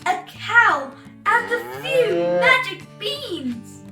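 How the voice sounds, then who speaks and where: A woman reading a children's storybook aloud as host, in a theatrical, exclamatory way with drawn-out emphasis. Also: very high voice, big pitch swings, far from the mic. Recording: roomy echo, off-mic, music bed.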